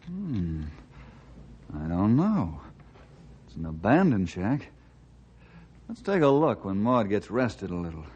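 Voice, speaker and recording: An actor playing a steady, friendly, folksy forest ranger in an old-time radio drama. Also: male voice, fairly low pitch, slow pace, long pauses, slightly rough, heavily acted. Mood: easygoing. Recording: muffled, noisy old radio recording.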